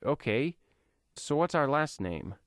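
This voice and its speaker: A man's voice: fairly high pitch, sing-song, takes it slowly, no echo, studio quality, pitched above the performer's own voice. A man voicing a confused teenage male vigilante who asks questions in an audio comic story. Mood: curious, puzzled. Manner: bewildered, questioning.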